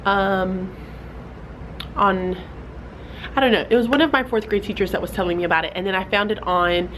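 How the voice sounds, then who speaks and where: A woman's voice: medium pitch, moderate pace, noisy background. A young woman, a fourth grade teacher, talking casually in a vlog, a little stuffy from a cold, with lots of "like" and "um". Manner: chatty, filler-heavy with "like" and "um". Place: classroom.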